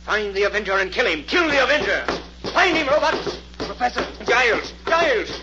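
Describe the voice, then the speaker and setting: An actor playing a mad, murderous professor in an old radio mystery, frantic, shrill and commanding. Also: male voice, very animated, a little fast. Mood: enraged, commanding. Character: mad, murderous professor raving at his robots. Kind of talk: 1940s radio mystery acting. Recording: muffled, noisy old radio recording.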